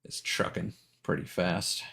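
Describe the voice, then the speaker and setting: A man's voice: a little slow, a bit bright. A man doing casual, rambling live stream commentary on a game, thinking aloud in hesitant fragments.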